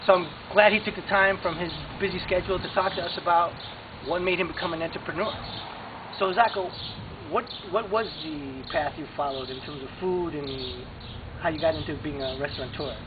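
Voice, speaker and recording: A man's voice: on the high side, brisk. A man interviewing a guest, eagerly pressing with follow-up questions. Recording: tinny phone-like audio with clear background noise.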